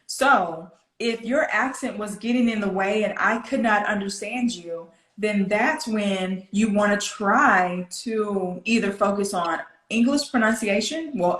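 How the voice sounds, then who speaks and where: A woman's voice: mid-range voice, a bit bright, reverberant, poor audio. A woman with an American Southern accent coaching one-to-one, explaining things directly and checking in with questions. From southern United States. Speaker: English pronunciation coach.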